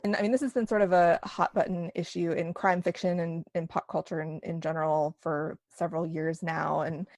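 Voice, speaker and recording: Low-pitched woman chatting casually. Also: little pitch movement, brisk, few pauses, a little mellow, dry recording, studio quality. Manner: chatty and candid, peppered with "like" and "you know". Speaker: interview guest, novelist and podcaster. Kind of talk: casual conversational interview.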